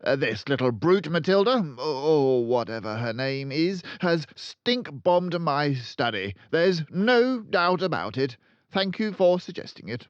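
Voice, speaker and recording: A man's voice: on the high side, very animated, unhurried, clear, resonant tone, no room sound, highs cut off, voice pushed up. A man voicing a tyrannical, bullying headmistress who barks and bellows in fury, in a children's storybook reading. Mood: barking, angry. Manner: barking, bellowing, snorting contempt. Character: tyrannical, furious, bullying headmistress, a huge middle-aged woman. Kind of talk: children's audiobook character voice.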